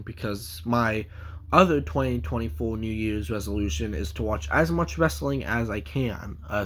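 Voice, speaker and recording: A man with a stuffy nose from a cold, giving a casual, rambling comic book review full of fillers and restarts. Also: on the low side.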